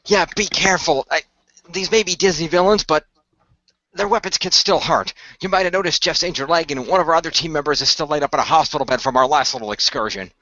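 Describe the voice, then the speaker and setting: A man performing and narrating a semi-improvised radio play in a measured, explanatory way with formal phrasing. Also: fairly high pitch, bright, forceful tone, dry recording. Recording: dry, dull lo-fi microphone.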